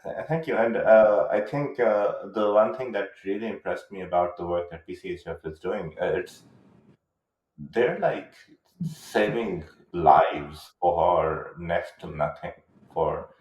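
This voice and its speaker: A man's voice: low-pitched, unhurried, a little mellow. A man hosting an interview, asking questions hesitantly with many fillers. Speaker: interview host. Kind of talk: conversational interview. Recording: roomy, dull sound.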